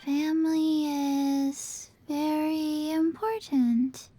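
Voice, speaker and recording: A woman voicing a small, solemn undead girl in a video game, speaking in a halting deadpan. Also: sits high, no room sound. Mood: earnest.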